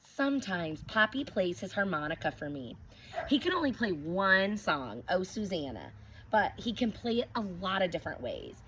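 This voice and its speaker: A woman teacher reading a picture book aloud to children, gently stopping to ask them questions. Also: mid-range voice, big pitch swings, moderate pace. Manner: gentle, asks children questions between pages. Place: outdoors with her dogs. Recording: dull lo-fi recording with clear background noise.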